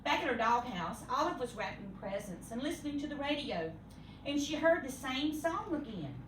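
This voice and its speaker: A woman reading a children's storybook aloud expressively, with theatrical, deliberate emphasis for young listeners. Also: high-pitched, big pitch swings. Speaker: storybook reader. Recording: echoey room, distant mic, faint noise.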